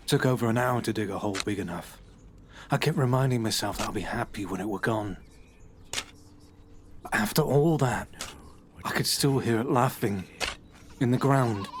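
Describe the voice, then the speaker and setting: An actor playing a troubled, unwell man who confesses to the police in a halting, hushed voice with nervous laughs. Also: lowish voice, background noise, lightly acted. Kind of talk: audio horror drama.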